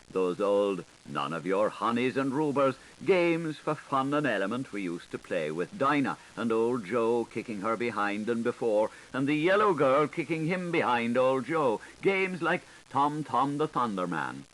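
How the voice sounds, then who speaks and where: Animated male audiobook reader on hissy tape. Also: mid-range voice, rough recording. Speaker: audiobook narrator. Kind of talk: literary audiobook reading. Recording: hissy 1980s tape recording. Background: steady tape hiss.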